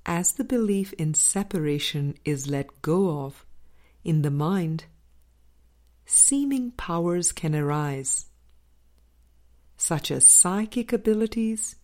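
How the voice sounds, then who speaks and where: A woman reading a spiritual audiobook as scripted narration, in measured, deliberate phrases with frequent pauses. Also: lowish voice, dark tone. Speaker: audiobook reader.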